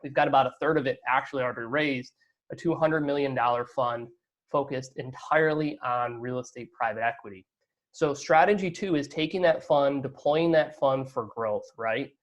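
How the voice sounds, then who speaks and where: A man's voice: medium pitch, normal speed, lo-fi sound. A man answering as a guest in a business interview, talkative and explanatory, often checking that he is understood. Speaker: interview guest, real estate fund operator.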